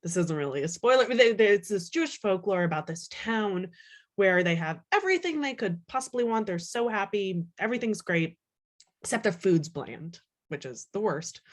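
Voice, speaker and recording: A woman's voice: mid-range voice, moderate pace. A woman author being interviewed, chatting casually with lots of "like" and acting out little quotes as she explains. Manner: chatty, animated self-quoting, peppered with "like".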